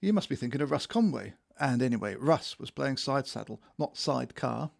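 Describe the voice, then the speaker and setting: A British actor playing a grumpy, sarcastic small-town office worker in a comedy audio drama, grumbling and swearing sardonically. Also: male voice, medium pitch, lively intonation, moderate pace, gravelly. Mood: dry teasing.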